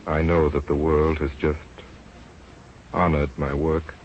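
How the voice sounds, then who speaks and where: An actor playing an earnest, devoted doctor in an old-time radio drama. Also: male voice, very deep voice, flat delivery, slow pace, a little mellow, a little hiss or hum. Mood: grieving, subdued. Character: earnest, devoted male doctor running a field experiment. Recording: muffled vintage radio recording with music.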